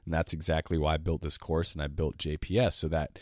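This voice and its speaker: A sick man hosts his music-teaching podcast in a very deep, lowered voice. He rambles eagerly, restarts sentences and coughs now and then. The sound is dry and close, with no room around it. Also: level tone, fairly quick, darkish tone, dry recording. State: sick, voice lower than usual. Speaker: podcast host and jazz piano teacher. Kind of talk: casual solo podcast monologue.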